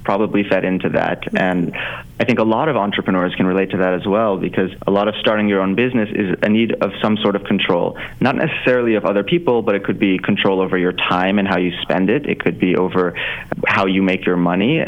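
A man's voice: deep voice, steady tone, fast. A young man whose first language is Farsi, answering interview questions in an earnest, reflective way, with frequent fillers and restarts. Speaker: author and entrepreneur guest. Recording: slightly dull lo-fi audio.

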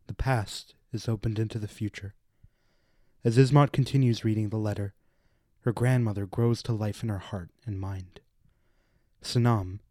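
A man narrating a radio drama, reading stage directions in a deliberate, literary storytelling manner. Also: fairly low pitch, mellow, dry recording, clean studio sound.

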